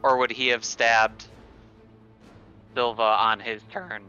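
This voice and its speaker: A man's voice: mid-range voice, level tone, takes it slowly, sharp tone, clean tone, lo-fi sound. A man talking casually as a player in a tabletop game. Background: music.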